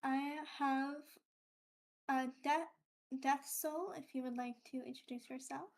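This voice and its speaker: A woman with a high-pitched voice hosts a relaxed group conversation. She has a lisp and speaks in a casual, chatty way. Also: takes it slowly, vocal fry. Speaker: host. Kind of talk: casual hosting of a group introduction chat.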